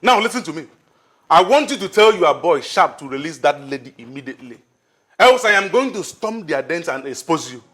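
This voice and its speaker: An actor playing a forceful man making threats, with commanding speech. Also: mid-range voice, expressive, normal speed. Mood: threatening. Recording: faint music behind.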